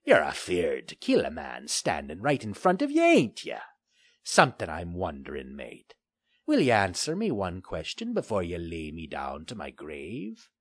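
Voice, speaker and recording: A man's voice: wildly swooping pitch, moderate pace, husky, no room sound, studio quality, full character voice. A man voicing a bulky, scheming male pirate with a growling, sneering pirate dialect, in a storybook reading. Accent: old-style pirate dialect. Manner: jumps between high mocking lilts and low growls.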